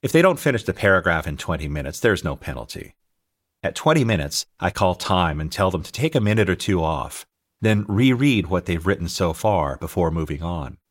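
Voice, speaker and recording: A middle-aged American man reading his own audiobook as an author and teacher, telling anecdotes in an expressive, scripted style. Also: deep voice, brisk. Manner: expressive, anecdotal storytelling, acting out dialogue. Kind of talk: scripted narration, audiobook memoir.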